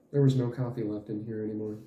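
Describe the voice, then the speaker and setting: A man in his late twenties giving a casual channel update in a halting, rambling way. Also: lowish voice, mellow, highs cut off. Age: late 20s. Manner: halting, rambling, frequent chuckles mid-sentence. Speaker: channel host and fan dub group leader. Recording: roomy echo, faint music behind.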